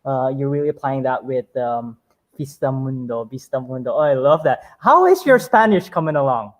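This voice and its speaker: A man's voice: mid-range voice, animated, moderate pace. A man hosting a podcast, chatting enthusiastically with frequent affirmations and filler words. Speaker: podcast host. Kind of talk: casual interview chat.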